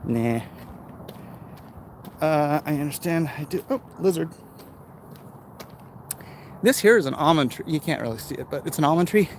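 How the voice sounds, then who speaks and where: A man's voice: a little high, lively intonation, normal speed, pauses often, a little mellow. An American man in casual, rambling livestream chat, talking off the cuff and answering comments as he walks. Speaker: livestream host. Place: outdoors, walking along neighborhood streets. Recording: outdoor street noise with passing traffic. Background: urban outdoor ambience, vehicles.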